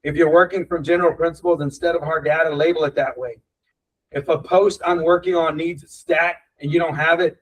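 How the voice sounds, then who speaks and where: A man's voice: fairly high pitch, recorded from a distance, low-quality recording. Brief: man, team-meeting coach, blunt and passionate, speaks away from the mic. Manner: blunt, passionate, swears casually. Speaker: real estate team leader.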